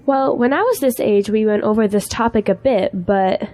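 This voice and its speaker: A woman's voice: medium pitch, normal speed, dark tone, close to an everyday voice. A woman playing an eager, idealistic young schoolteacher in an audio drama, with an earnest, polite way of talking. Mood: concerned, hesitant.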